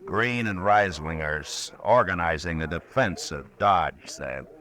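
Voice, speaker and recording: An actor playing a steady, commanding Old West marshal in a radio western, terse and level-headed. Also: male voice, low-pitched, unhurried. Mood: weary, firm.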